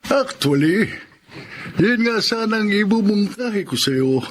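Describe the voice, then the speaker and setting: High-voiced, kindly older gentleman. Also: lively intonation, a little slow, breathy edge, full character voice. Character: Alfredo, kindly older rich widowed employer, fatherly. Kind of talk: Filipino radio drama acting.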